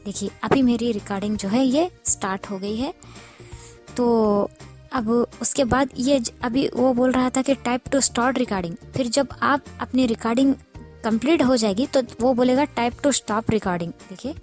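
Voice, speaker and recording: A woman with a fairly high voice walks listeners through a phone app. She explains informally, step by step, often repeating each instruction. Some music plays behind her. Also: unhurried, a little mellow. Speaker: tutorial presenter.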